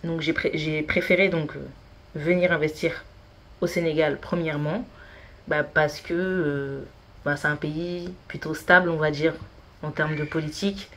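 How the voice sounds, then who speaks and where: A woman's voice: deep voice, fairly even intonation, unhurried, a bit bright. A woman in her thirties, a consultant guest on a podcast, talking with many hesitations and fillers. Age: 30s. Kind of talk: casual interview.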